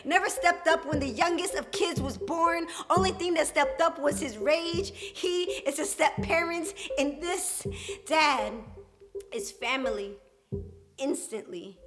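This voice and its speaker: A young woman performing spoken word poetry at a live open mic, with a rhythmic, emphatic delivery and dramatic pauses. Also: high voice. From Puerto Rican and Dominican heritage.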